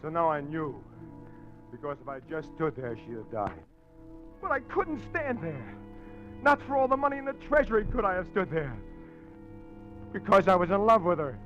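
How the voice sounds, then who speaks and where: An actor playing a conflicted con man in a 1940s radio drama, speaking in a nervous, halting way. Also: fairly high pitch, huge pitch swings, music in the background. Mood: tense. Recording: dull old radio broadcast.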